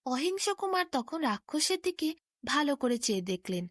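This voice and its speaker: Female fairy-tale narrator: higher-pitched voice, very lively melody, scripted bedtime storytelling. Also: normal speed, dry recording, studio quality.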